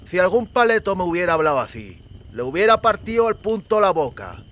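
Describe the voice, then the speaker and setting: A man voicing the mocking, menacing eldest member of a rough rustic gang in an audiobook, speaking slowly and archaically with a lisp. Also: high-pitched, very animated, fairly bright tone, pitched above the performer's own voice. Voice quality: lisping. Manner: slow, archaic rustic speech, sardonic.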